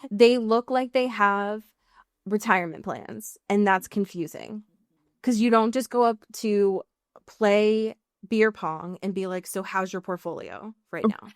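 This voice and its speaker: American woman chatting on a comedy podcast, mock exasperated, stretches words for emphasis, mid pitch, really dry sound. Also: a little slow, smooth, no room sound. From US Pacific Northwest. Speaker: podcast co-host. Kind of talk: casual comedic podcast chat.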